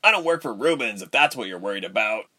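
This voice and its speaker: A man's voice: lowish voice, expressive, quick pace, pushed and bright, exaggerated voice. A man voicing an intense, guarded male ship worker in an audiobook story. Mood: muttering, defensive.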